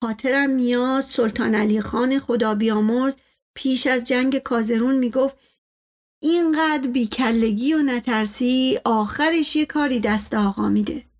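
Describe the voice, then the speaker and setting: A woman voicing a placating, folksy male servant in an audiobook story, with colloquial, deferential, drawn-out phrasing. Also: on the high side, close to an everyday voice. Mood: evasive, flattering.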